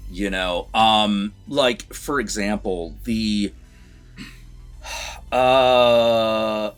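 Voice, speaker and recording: A man in casual, sweary film review banter with a co-host, animated and given to acting out imagined lines. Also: fairly low pitch, drawn-out words, clear, resonant tone, soft background music.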